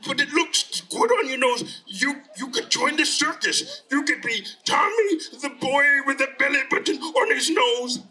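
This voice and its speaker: Casting: storyteller as a grumpy, coaxing storybook monster, very lively delivery, bright tone, off mic. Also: high voice, measured pace, hardly any pauses, distant mic, voice pushed up, full character voice, poor audio. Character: belly button monster, flustered, wheedling, grumpy thief. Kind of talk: live children's storytelling.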